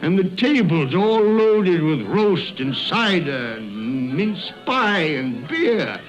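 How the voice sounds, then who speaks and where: A muffled radio-drama recording with music underneath carries an old miser. He swings widely in pitch and takes his time, stammering and repeating himself in a quavering, fearful, regretful way. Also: very animated, slow pace. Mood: delighted. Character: frightened, remorseful old miser revisiting his youth.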